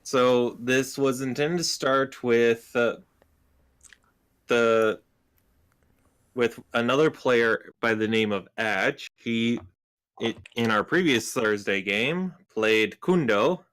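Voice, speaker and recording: Man, game master, slow, halting, deliberate, many fillers. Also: mid-range voice, fairly bright tone, clean tone. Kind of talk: running a tabletop game on a live stream.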